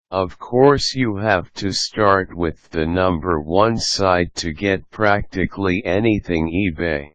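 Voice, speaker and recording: A man's voice: low-pitched, fairly bright tone, no echo. A man reading a scripted how-to guide as an instructional narrator.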